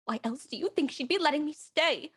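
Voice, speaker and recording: An actress playing a bold, flirtatious house guest in a period mystery radio play, with a teasing, theatrical manner. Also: female voice, high-pitched, brisk, a bit bright. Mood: indignant.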